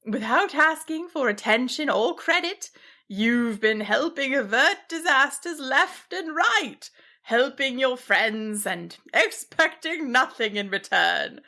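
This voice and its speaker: A woman voicing a big, kind but fearsome female dragon in a storybook, drawn out and booming. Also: animated, takes it slowly, sharp tone, full character voice. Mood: kindly.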